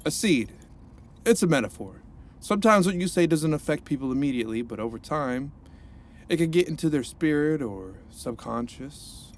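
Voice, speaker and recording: An actor playing an earnest reformed supervillain turned counselor, speaking gently and encouragingly while narrating an audio drama. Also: male voice, on the high side, lively intonation, normal speed. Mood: reflective.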